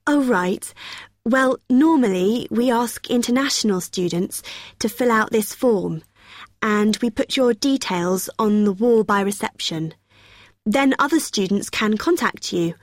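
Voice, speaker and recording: A woman's voice: a little high, measured pace, dry recording, clean studio sound. A young woman playing a friendly, helpful student union helper who explains things in an acted everyday dialogue. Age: young adult.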